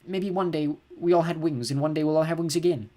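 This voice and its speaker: A man voicing a worried mother of a sick baby, shrill when upset and soft when tender. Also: fairly even intonation, fast, lightly acted.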